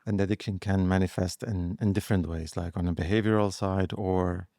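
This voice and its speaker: A low-pitched man's voice recorded dry and close. He is an interview host who asks careful, slightly halting questions and keeps up quiet murmurs of acknowledgment while his guest talks. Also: fairly even intonation, dry recording. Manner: attentive, frequent murmured acknowledgments. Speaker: podcast host.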